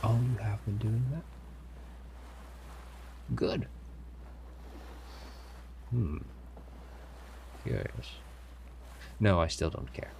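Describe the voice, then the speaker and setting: A man's voice: on the low side, lively intonation, vocal fry, full character voice. A man playing a stern, menacing pirate captain who grudgingly cares, in a commanding audio roleplay with noisy ambience behind him. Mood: gruff, grudging concern. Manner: slow, commanding, with sighs and feigned indifference. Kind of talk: audio roleplay acting, addressing a captive listener.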